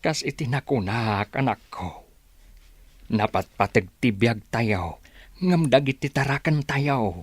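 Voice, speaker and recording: A man's voice: medium pitch, expressive, slow pace, slightly rough, dry recording. A man playing a worried, protective village father and farmer in a radio drama. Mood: weary, cautious. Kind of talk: radio drama acting in Ilocano.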